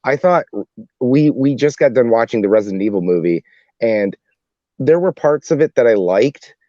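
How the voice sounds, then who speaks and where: Mellow man, halting casual chat, dull audio. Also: medium pitch, lively intonation, no echo. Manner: halting, rambling, rephrases repeatedly. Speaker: podcast panelist. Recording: dull lo-fi dry voice track.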